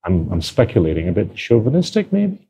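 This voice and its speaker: A man's voice: lowish voice, huge pitch swings, fairly quick, warm tone, slightly rough. A man hosting an interview podcast, probing his guest with short follow-up questions. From Indonesia. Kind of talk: conversational interview.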